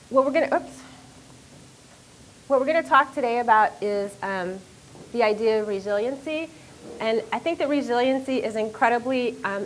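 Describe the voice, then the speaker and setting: Middle-aged woman professor, loud and lively, higher voice, lecture mic, faint noise. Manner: loud, animated, self-deprecating. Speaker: university professor and speaker. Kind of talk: lecture to an audience. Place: lecture room. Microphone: handheld or stand microphone.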